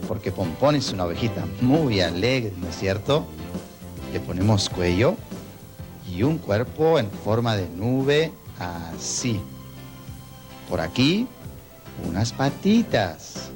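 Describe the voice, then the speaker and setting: A man's voice: on the low side, sing-song, slow pace, music in the background. A man with an Ecuadorian accent hosting a children's TV show, friendly and coaxing as he talks to kids. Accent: Ecuadorian Spanish. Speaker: children's TV host. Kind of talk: children's TV presenting.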